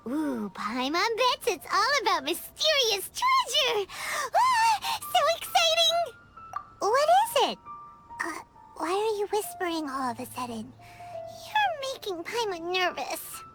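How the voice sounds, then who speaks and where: Casting: tiny chirpy fairy companion, game role, extremely high childlike voice, wildly animated, music underneath. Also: female voice, slow, full character voice. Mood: excited, curious. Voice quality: squeaky, childlike. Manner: animated, refers to herself in third person. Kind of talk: English game voice acting.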